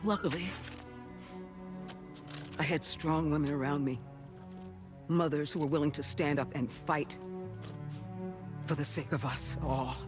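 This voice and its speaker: A man acting a grave, solemn resistance leader in a video game cutscene, speaking deliberately. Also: on the high side, steady tone, lightly acted. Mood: solemn resolve. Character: grave male leader of an armed resistance group. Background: background music.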